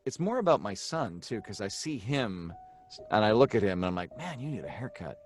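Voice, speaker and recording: A man's voice: fairly low pitch, sing-song, fairly quick. A man chatting casually as a live-stream guest. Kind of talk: casual chat.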